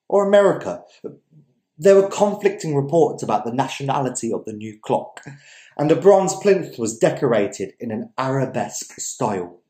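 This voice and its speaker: A young man reads a story aloud in a theatrical, emphatic way. His voice is soft-edged and dark in tone, with deliberate pauses. The room around him rings with noticeable echo. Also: fairly high pitch. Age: young adult. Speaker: story reader. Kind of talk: dramatic reading of literary fiction. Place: indoors, small domestic dining room. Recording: echoey room.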